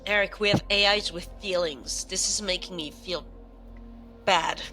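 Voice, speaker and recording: A woman as an alarmed, bright-voiced station crew member. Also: medium pitch, unhurried, faint music behind, pitched below the performer's own voice. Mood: exasperated. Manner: exclamatory, blunt. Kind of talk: improvised sci-fi role-play.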